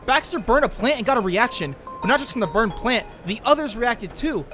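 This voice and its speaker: A man's voice: extremely high pitch, lively intonation, a little fast, big-room sound, music in the background. An actor playing an anxious, brooding, science-obsessed teenage boy in a comic dub, earnest and prone to questioning himself. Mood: eager, earnest. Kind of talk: acted comic dub with inner monologue.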